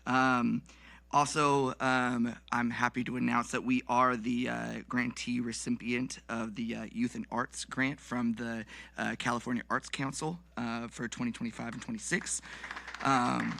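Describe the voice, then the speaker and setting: A man with a slightly raspy voice, worn from recent yelling, giving public comment as a nonprofit founder. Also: medium pitch, flat delivery, measured pace. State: voice recovering from yelling. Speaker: nonprofit dance organization founder. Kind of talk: public comment at a council meeting.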